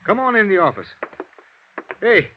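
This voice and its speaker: An actor playing a chatty, world-weary homicide lieutenant in a 1950s radio drama, talking in a folksy, grumbling, familiar way. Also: male voice, high-pitched, wildly swooping pitch, unhurried, heavily acted. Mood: folksy, complaining. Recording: dull, noisy vintage radio recording.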